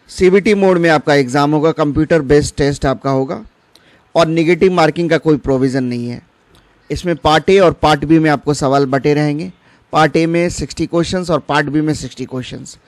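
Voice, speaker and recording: A man gives an instructional explainer. He speaks directly to his viewers and drops in English terms. The sound is dry, with no room echo. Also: on the high side. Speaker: exam-preparation channel host. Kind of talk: informational explainer for exam candidates.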